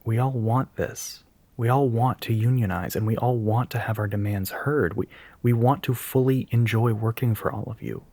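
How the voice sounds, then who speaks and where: Breathy, earnest young soft-boy spokesman played by a man. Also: mid-range voice, dry recording, lightly acted. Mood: earnest, resolute. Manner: hesitant, halting, politely firm. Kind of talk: audio roleplay monologue, addressing the listener.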